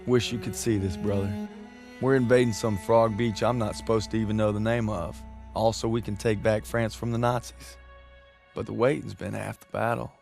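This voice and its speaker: Low, gravelly young American soldier. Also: male voice, little pitch movement, moderate pace, darkish tone, faint music behind. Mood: earnest, reflective. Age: young adult. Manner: informal, plainspoken. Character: earnest young American soldier narrating a letter to his brother. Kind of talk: reflective scripted narration.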